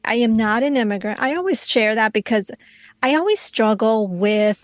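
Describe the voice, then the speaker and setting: A woman's voice: fairly high pitch, expressive, normal speed, dry recording, studio quality. A woman in her forties from Colombia, a Spanish native speaker, telling her story as a podcast guest in a reflective, restarting way. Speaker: podcast guest, financial counselor. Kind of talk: casual interview conversation.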